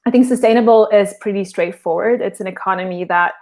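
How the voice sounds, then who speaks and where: A woman's voice: mid-range voice, fast talker, a little mellow. A woman from Portugal, a guest in a remote interview, explaining her work in a thoughtful, casual way and often restarting her phrases.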